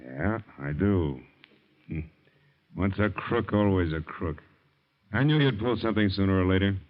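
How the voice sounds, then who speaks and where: A gruff, vengeful ex-cop in a 1940s radio drama, his voice very low and heavy. He talks in blunt threats, and the old broadcast sound is muffled. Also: animated, moderate pace. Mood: scornful. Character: bitter vengeful ex-cop, tough and menacing.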